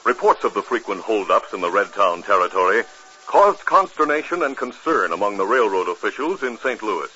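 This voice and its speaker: A man's voice: fairly low pitch, big pitch swings, brisk, bright, forceful tone, husky. An American man as a 1950s radio announcer, reading scripted narration with dramatic, emphatic delivery. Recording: muffled, noisy old 1950s radio recording.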